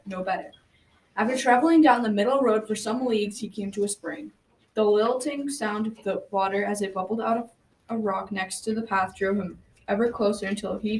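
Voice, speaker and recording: A woman's voice: mid-range voice, moderate pace, natural voice. A young adolescent girl narrating a folktale as a grandfather in a scripted school radio drama. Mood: storytelling. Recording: faint noise, slightly distant microphone.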